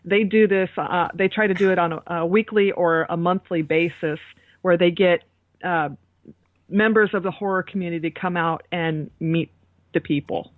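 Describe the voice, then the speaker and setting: A woman's voice: on the low side, steady tone, moderate pace, no room sound. Phone-like, dry female podcast co-host. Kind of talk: casual chat. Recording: thin phone-like line.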